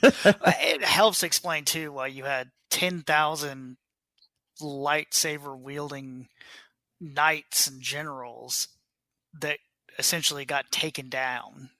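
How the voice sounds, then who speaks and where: A man with a bright, forward voice pitched a bit high, recorded dry. He chats as a podcast co-host in a casual discussion, wry and joking. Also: fairly even intonation, slow pace, no echo. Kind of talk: casual book club discussion.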